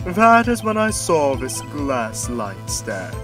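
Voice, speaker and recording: A man voicing a flamboyant, smug CEO villain testifying in a courtroom game fandub, with theatrical, drawn-out delivery. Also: medium pitch, wildly swooping pitch, far from the mic, music in the background. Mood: smug testimony. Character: flamboyant, smug male corporate CEO villain on the witness stand.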